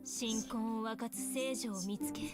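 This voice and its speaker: Solemn high-voiced prophetess over loud music, acted by a woman. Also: steady tone, a little fast, lightly acted. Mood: solemn, portentous. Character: solemn female narrator of a mythic fantasy prophecy. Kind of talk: dramatic scripted game cinematic narration.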